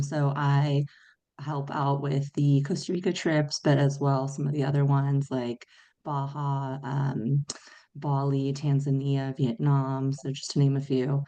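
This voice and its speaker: A woman from Los Angeles, a trip coordinator, introducing herself in a relaxed, gentle way. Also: a bass voice, measured pace, lo-fi sound. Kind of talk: casual self-introduction.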